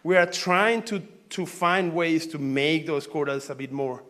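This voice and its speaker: A man's voice: on the high side, a bit bright. Venezuelan-born man giving a conference talk. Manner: conversational, halting, frequent fillers and restarts. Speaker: researcher presenting at a symposium.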